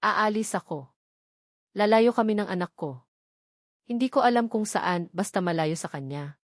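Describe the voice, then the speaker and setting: A woman's voice: medium pitch, lively intonation, normal speed, no room sound, close to an everyday voice. Woman narrator as heartbroken wife, pregnant, emotional, pleading yet resolute, storybook dialogue, dry close sound. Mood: pained resolve. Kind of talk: dramatic audio storybook dialogue.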